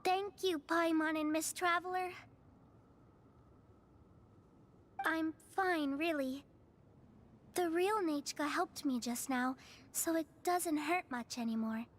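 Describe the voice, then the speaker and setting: Very high-voiced, earnest young girl. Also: measured pace. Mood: reassuring, grateful. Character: frail, polite young girl, quietly brave. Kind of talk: video game voice acting.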